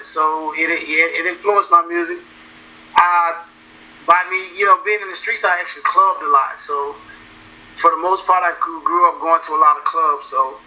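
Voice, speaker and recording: A man, a musician talking in a casual interview, relaxed and repeating himself as he goes. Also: sits high, lo-fi sound. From South Carolina. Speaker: musician guest.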